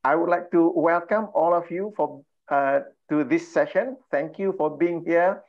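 A man's voice: on the high side, unhurried. A middle-aged man from Malaysia hosting an online workshop on a video call, welcoming people with lots of "uh" fillers. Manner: frequent "uh" fillers, warm and laughing. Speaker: trainer and coach hosting an online session. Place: home room with bookshelves. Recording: slightly dull video call audio.

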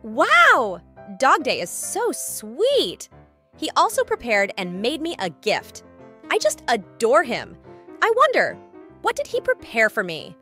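A woman's voice: a little high, huge pitch swings, slow, sharp tone, faint music behind, exaggerated voice. A woman voicing a possessive, scheming, girlish cartoon cat in a theatrical comic dub. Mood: excited, sweet. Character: possessive, scheming purple cat, theatrically sweet then snappish. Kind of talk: comic dub character acting.

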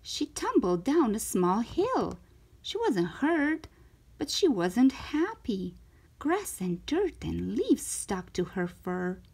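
Slow, animated woman reading a children's story. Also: on the high side, breathy edge. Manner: animated, careful storytelling delivery. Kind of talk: expressive children's read-aloud narration.

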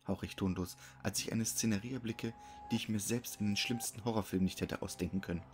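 A man's voice: lowish voice, steady tone, quick pace. A man giving a scripted, dramatic narration of a horror story. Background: music behind the voice.

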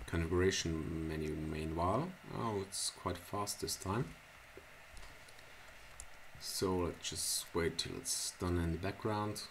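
A man in his thirties from Switzerland, a native Swiss German speaker, walking through a software setup in an unhurried, halting way with many fillers and restarts. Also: low-pitched, slight background noise. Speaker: software tutorial presenter. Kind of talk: casual screencast tutorial. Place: small indoor room at a computer.

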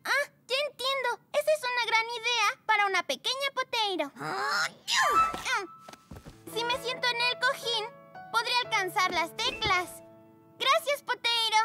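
A woman's voice: very high voice, a little slow. A woman voicing a timid, sweet little girl pug puppy in a preschool cartoon, childlike and hesitant, with a pleading way of talking. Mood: eager. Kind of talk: preschool cartoon dub acting. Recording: music behind the voice.

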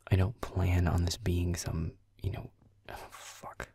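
A man playing a grumpy, irritable young werewolf boyfriend in an audio roleplay, muttering sarcastically in a gravelly, breathy near-whisper. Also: low voice, monotone, slow, mellow, heavily acted. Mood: irritated, exasperated. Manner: muttering, grudging, sarcastic, sweary. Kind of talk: intimate audio roleplay over gameplay.

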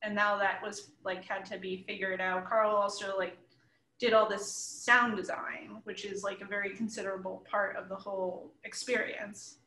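Woman, thirties, casual panel guest, bright forceful tone, roomy video-call sound. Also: mid-range voice, level tone, normal speed, a little hiss or hum. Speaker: interviewed comics creator. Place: living room at home, indoors. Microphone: computer mic at a short distance, video call.

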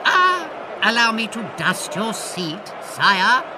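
A man's voice: high voice, big pitch swings, takes it slowly, noisy background, full character voice. A man playing a grovelling lord in a comic audio drama, obsequious to his betters and bossy to others. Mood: fawning. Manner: obsequious, switching to brash and bossy. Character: fawning, grovelling male lord, rude to commoners.

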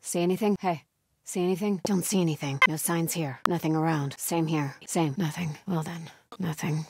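A woman's voice: sits low, steady tone, normal speed, background noise. An actress playing a grim, devout, alert female cult soldier in a video game, speaking in short clipped callouts. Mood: wary, hushed. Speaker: video game enemy character. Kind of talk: video game combat voice lines.

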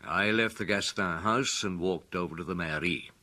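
A detective telling his own case in a radio drama. The voice is low and husky, reflective as it narrates in the first person. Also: moderate pace, sharp tone.

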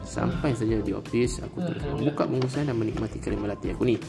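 A man in his thirties with a northern Malaysian Kedah accent, narrating a casual vlog in a gravelly voice. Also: medium pitch, quick pace. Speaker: vlogger. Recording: music playing over the voice.

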